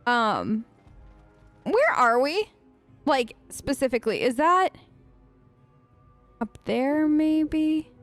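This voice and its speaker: A woman hosts a game playthrough in a fairly high voice, recorded dry and close. She chats casually in role, playful and often hesitant as she reacts. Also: no room sound. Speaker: gamer, let's play host. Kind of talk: role-play gameplay commentary, casual chat.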